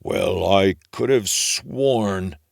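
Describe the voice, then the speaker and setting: A man voicing a huge, bullying, hungry ogre with loud, drawn-out bellows in a children's storybook reading. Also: deep voice, gravelly, no room sound, clean studio sound, heavily acted. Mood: muttering, puzzled. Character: huge booming bullying ogre, gruff, hungry, easily roused.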